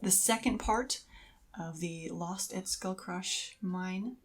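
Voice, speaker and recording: A woman's voice: on the low side, slow. A woman co-hosting a podcast in casual, conversational chat. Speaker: podcast co-host.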